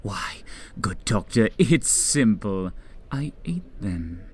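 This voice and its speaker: Renfield, a man playing a husky, unhinged asylum madman. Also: fairly low pitch, very animated, exaggerated voice. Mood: sly, menacing. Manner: slow, taunting, lurching between shouts and whispers. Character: unhinged male asylum patient who eats flies. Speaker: asylum patient. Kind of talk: audio drama acting.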